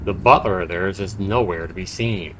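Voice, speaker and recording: A man's voice: sits low, moderate pace, a bit bright, slight background noise, low-quality recording. A man livestreaming a horror visual novel, reading it aloud dramatically and chatting casually about it. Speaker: livestreamer playing and narrating a game.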